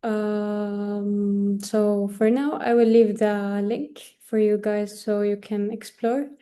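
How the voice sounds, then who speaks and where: A woman walks through a software demo on a video call. She speaks slowly and with many pauses and fillers, and drops in Arabic words now and then. Her voice sits a little high but sounds dark and mellow, with little rise and fall. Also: little pitch movement. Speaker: workshop presenter. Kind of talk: screen-share product demo.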